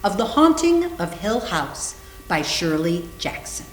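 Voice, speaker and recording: A woman's voice: fairly low pitch, very animated, a little slow. A woman reading scripted story narration aloud expressively for a readers theater. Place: indoor room, live library reading. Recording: echoey room with faint hum.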